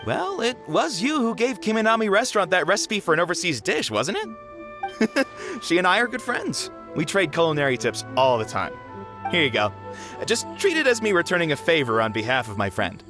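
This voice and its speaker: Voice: mid-range voice, big pitch swings, moderate pace. An actor voicing a friendly, chatty festival food stall vendor with an easygoing, encouraging manner. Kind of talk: game dialogue. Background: game music.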